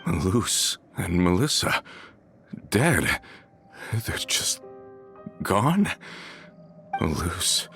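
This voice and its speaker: A man playing a gruff, loyal veteran henchman shaken by loss, earnest and dramatic, in a video game. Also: low voice, expressive, normal speed, a bit bright, faint music behind. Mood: stunned, grieving.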